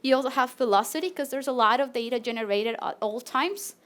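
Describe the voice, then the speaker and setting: A woman with a Latin American Spanish accent and a high, bright voice presents her research on stage. She talks in a friendly, animated way, with the odd filler and restart. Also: fairly quick. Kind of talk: live PechaKucha talk to an audience.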